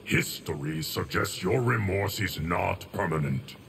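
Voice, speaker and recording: Very deep, solemn guardian of time. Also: male voice, animated, heavy background noise, full character voice. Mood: solemn, measured. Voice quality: deep, gravelly. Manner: slow, measured, formal. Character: solemn, ancient, stoic male guardian of time. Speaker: video game character voice. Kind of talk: scripted game dialogue.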